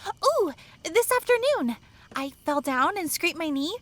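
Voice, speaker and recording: 여자가 연기하는 높은 목소리의 발랄한 젊은 여성 상담원. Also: female voice, extremely high pitch, sing-song, unhurried, fairly bright tone, heavily acted. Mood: chirpy. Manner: chirpy, casual, girlish. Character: bubbly, easygoing young female camp counselor. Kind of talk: audio drama acting.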